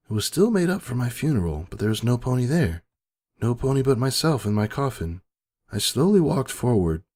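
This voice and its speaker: A man's voice: on the low side, a little mellow. A man reading scripted first-person story narration in a dramatic, emotive way.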